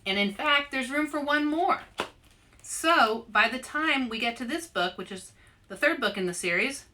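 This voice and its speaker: A woman presenting a children's read-aloud in an animated way, speaking directly to young listeners as an author and host. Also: high voice, normal speed, a little hiss or hum.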